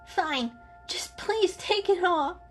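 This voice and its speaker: Woman voicing a plaintive, very high-pitched she-cat. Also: expressive, slow pace, faint music behind, exaggerated voice. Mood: pleading, pained. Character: sweet, dramatic female cat, pleading and grateful.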